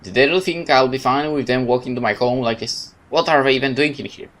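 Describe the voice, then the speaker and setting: A man's voice: medium pitch, fast talker. Man expressively narrating a visual novel. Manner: expressive reading with casual asides. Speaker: let's player reading a game aloud. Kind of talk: dramatic first-person narration of a visual novel.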